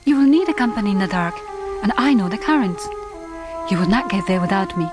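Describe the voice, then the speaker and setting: A woman playing a gentle, devoted young Japanese islander in a radio drama, earnest and formal in her phrasing. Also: mid-range voice, lively intonation, brisk, lightly acted. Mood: tender, determined. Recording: music bed under voice. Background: orchestral film score.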